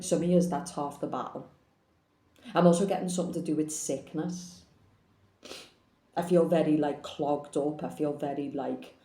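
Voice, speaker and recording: Frank, plain-spoken female tarot reader, mellow tone, roomy recording. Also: sits low. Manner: blunt, frank, swears casually. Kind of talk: casual tarot reading to camera.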